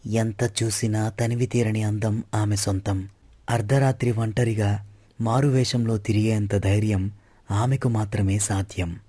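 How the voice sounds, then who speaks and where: A man's voice: on the low side, little pitch movement, a little slow, dark tone, slightly rough, no echo. A man playing a devoted young prince in love, speaking earnestly in a story. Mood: romantic, devoted.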